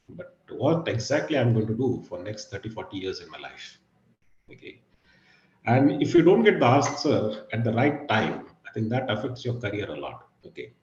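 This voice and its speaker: A middle-aged man with a husky, low voice giving an online guest lecture, pausing often with fillers and "okay". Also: roomy sound, highs cut off. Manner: hesitant, many fillers and "okay". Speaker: guest speaker. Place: video call.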